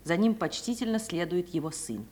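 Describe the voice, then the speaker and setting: A woman's voice: on the low side, lively intonation, brisk, a little mellow. A woman narrating stage directions in the scripted manner of 1950s Russian radio theatre. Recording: old radio recording, faint hiss.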